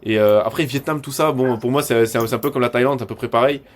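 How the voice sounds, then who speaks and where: A man's voice: mid-range voice, fast talker. A man chatting casually as a coach, often repeating words as he starts his sentences. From born in Morocco, raised in France from six months old. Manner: repetitive, stammering starts with slangy French. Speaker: e-commerce coach hosting a discussion with students. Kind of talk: casual chat.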